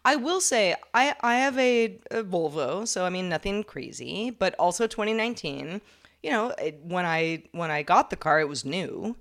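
A woman co-hosting a relaxed podcast with a wry, self-deprecating humor. Her voice sits on the low side but moves through a wide, expressive range, and she often restarts her sentences mid-thought. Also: moderate pace. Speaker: podcast co-host. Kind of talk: casual chat.

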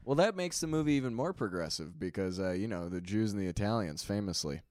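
A young man co-hosting a casual movie podcast, trading deadpan, ironic jokes. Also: lowish voice, fast talker, no room sound, clean studio sound. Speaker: podcast co-host. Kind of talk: casual movie-review chat.

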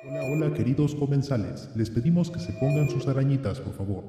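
A man's voice: medium pitch, fairly quick, warm tone, natural voice. Echoey, courteous restaurant announcer played by a man. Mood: polite, calm. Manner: courteous, formal. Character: polite restaurant announcer calmly warning diners. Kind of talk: public address announcement in an audio drama. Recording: echoey hall reverb, music behind.

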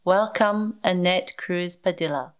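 A woman's voice: fairly low pitch, a little slow, smooth. A female podcast co-host reading upbeat introductions and asking encouraging, enthusiastic questions. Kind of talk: scripted intro, then conversational interviewing.

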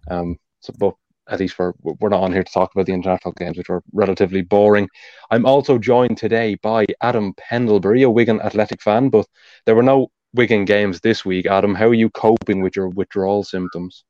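An Irish man with a low, deep voice hosts a casual football podcast. He talks quickly and explains tactics at length. The recording is dry and a little dull, like a home podcast mic. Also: fairly even intonation, darkish tone, no echo. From Ireland. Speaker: podcast host.

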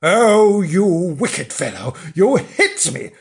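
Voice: medium pitch, sing-song, unhurried. A storyteller voicing an indignant, blustering man from a children's storybook, spluttering with outrage. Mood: enraged. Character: indignant, blustering middle-aged man, shouting accusations.

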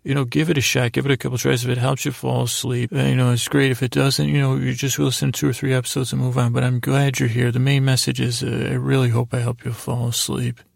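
A man hosting a bedtime podcast in a gravelly voice, meandering and halting as he rambles in a lighthearted, whimsical way. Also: medium pitch, little pitch movement, no room sound, studio quality. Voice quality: creaky, gravelly. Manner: meandering, hesitant, halting, trailing off. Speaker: podcast host. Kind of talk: rambling bedtime monologue to help listeners sleep.

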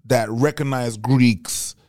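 A man's voice: mid-range voice, fairly even intonation, takes it slowly, a little mellow, dry recording, clean studio sound. A man chatting casually and comedically in a slangy way, swearing freely and acting out bits of dialogue as he tells stories. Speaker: podcast speaker. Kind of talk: casual comedic storytelling chat.

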